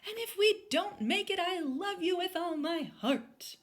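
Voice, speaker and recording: A woman's voice: extremely high pitch, lively intonation, measured pace, voice pushed up. A woman voicing a doting, dramatic mother bear from a storybook, exclaiming melodramatically. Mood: panicked.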